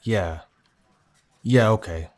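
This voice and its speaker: An actor playing a subdued, principled police subordinate in an audio drama, hesitant and deferential. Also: male voice, lowish voice, takes it slowly. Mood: resigned.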